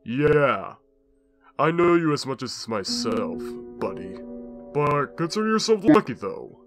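A man's voice: a little high, very animated, unhurried, over music. A man voicing a smug, unhinged glitchy skeleton destroyer in a comic dub, with a drawling, mocking, theatrical delivery. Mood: sneering, smug.

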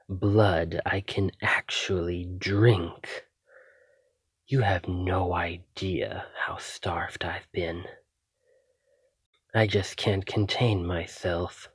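A man playing an obsessive, hungry, menacing male vampire in an ASMR roleplay, speaking intimately and deliberately with dramatic pauses. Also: low-pitched, slightly rough, no echo, heavily acted. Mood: hungry, enraptured.

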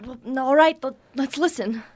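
An actress playing a polite, uneasy late-night radio host who speaks hesitantly in an audio drama. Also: female voice, high voice, normal speed, heavy background noise, lightly acted. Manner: hesitant, nervously chatty.

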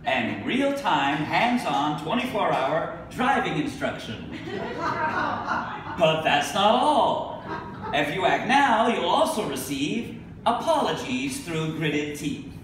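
A middle-aged man giving a live poetry reading, speaking deliberately with emphatic pauses. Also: a little high, sing-song. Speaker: poet. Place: indoor venue with a staircase, live reading. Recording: echoey room from a distance, noisy.

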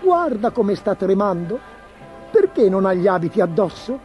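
A man puts on an innocent little boy from a fairy tale. He is puzzled and plain-spoken, with a raised pitch and a muffled sound. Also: very animated, moderate pace, faint music behind.

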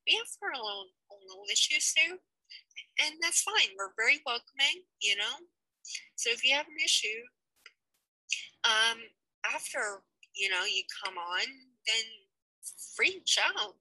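Young woman, 20s, earnest pleading tone, very bright timbre, dry close headset mic. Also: fairly high pitch, big pitch swings, unhurried, pauses often, no room sound, low-quality recording. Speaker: channel host. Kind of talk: casual update to viewers. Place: small room indoors. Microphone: headset mic on webcam.

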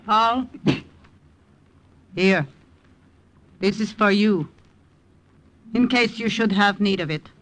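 A woman's voice: mid-range voice, slow pace, long pauses, a bit bright. A woman acting a weary, fearful middle-aged Dutch aunt in an old wartime radio drama, speaking sorrowfully. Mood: solemn. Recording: noisy old-time radio recording.

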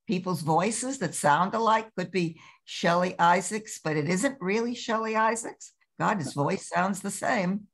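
An older woman chatting on a video call, rambling from one thought to the next. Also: fairly low pitch. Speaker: discussion participant. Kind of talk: casual chat on a video call.

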